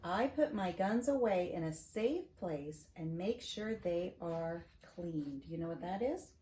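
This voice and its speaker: Mellow middle-aged woman reading in an echoey room. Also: fairly low pitch, expressive, unhurried. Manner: encouraging, asks questions and checks understanding with "right?". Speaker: storyteller reading to grandchild. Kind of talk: children's picture-book read-aloud with chatty asides. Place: wood-shelved home study, indoors. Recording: roomy echo, faint noise, clicks.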